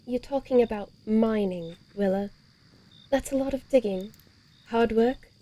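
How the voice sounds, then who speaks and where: A woman's voice: a little high, a little slow, mellow, lightly acted. A woman playing a bloodthirsty, grumbling female vampire in an audio horror-comedy, speaking dryly and theatrically in old-fashioned phrases. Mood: skeptical, dry.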